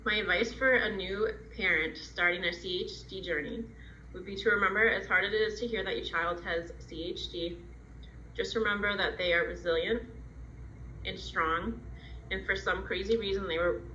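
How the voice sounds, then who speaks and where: A woman's voice: mid-range voice, fairly even intonation, normal speed, sharp tone. Woman about thirty, encouraging, echoey room with dull lo-fi sound and faint hum. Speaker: adult with congenital heart disease. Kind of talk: personal testimonial.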